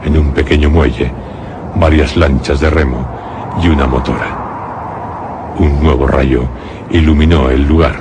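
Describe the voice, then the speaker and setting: A man's voice: rumbling low, fairly even intonation, unhurried, long pauses, heavy background noise. A man from narrating a scripted radio horror and suspense drama in a solemn, dramatic storytelling manner. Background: music.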